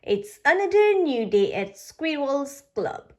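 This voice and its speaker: A woman reading a children's storybook aloud for toddlers, with a halting delivery and the odd slip. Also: high-pitched, sing-song, warm tone. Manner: halting reading with slips and pauses. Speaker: children's book reader on a video channel.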